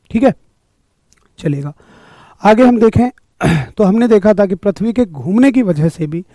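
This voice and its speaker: A hoarse man leads a live exam-prep class, clearing his throat often. His voice sits fairly high for a man but sounds mellow and dark. The sound is dry and close, with no room echo. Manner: greets viewers by name, upbeat coaching. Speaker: online exam-prep teacher. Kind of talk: live-streamed physics class.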